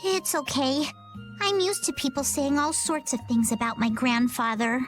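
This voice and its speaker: A woman's voice: sits high, normal speed, soft background music, close to an everyday voice. A woman voicing a plucky, stubborn young girl treasure hunter in video game dialogue, self-assured and stoic. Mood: resolute.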